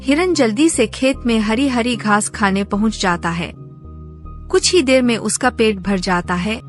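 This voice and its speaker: A woman's voice: fairly high pitch, unhurried, soft background music. A woman narrator reading a scripted animal fable for children.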